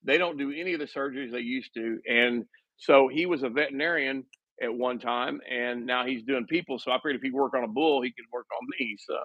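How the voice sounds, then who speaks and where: Man in his fifties, forceful bright voice, halting restarts, dry muffled recording. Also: mid-range voice, dry recording. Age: 50s. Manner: self-mocking humor. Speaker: guest. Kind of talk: casual conversation.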